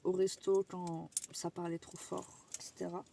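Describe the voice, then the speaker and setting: A young woman chats to her camera in a loose, rambling vlog style and swears now and then. She sounds sick and worn out. Her voice sits low and carries a gravelly fry. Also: level tone, unhurried, dark tone, a little hiss or hum. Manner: chatty, rambling, casual swearing. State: sick and tired. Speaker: vlogger. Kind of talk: casual vlog chat to camera.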